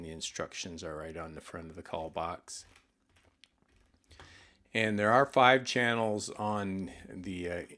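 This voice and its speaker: Male presenter, deep register, for an informal retail product demo; plain, explanatory, slightly halting delivery. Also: fairly bright tone.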